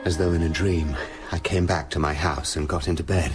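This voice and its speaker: An actor with a British accent playing a tormented scientist with a sinister other self, speaking formally and intensely in a radio play. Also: male voice, rumbling low, fairly even intonation, fairly quick, music in the background. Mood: weary, haunted. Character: tormented male scientist slipping into his menacing, sinister alter ego. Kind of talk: dramatic radio play acting, confessional monologue.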